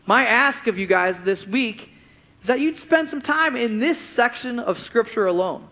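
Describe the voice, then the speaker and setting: A man's voice: very high-pitched, expressive, unhurried, bright, forceful tone. A man preaching as pastor of a church, closing his sermon with an earnest prayer and then speaking to the congregation from the stage.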